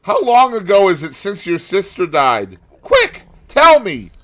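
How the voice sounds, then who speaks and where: A man voicing a terrified gentleman in an audiobook, gasping and crying out as he pleads for his life. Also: high voice, wildly swooping pitch, a little slow, voice pushed up, heavily acted. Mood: desperate, urgent.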